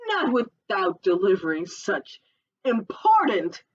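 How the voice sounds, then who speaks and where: Woman putting on a scheming fox, drawn-out sweet talk, bouncy pitch. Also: huge pitch swings, dull audio, slight background noise. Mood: sly. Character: sly male fox, smooth and scheming.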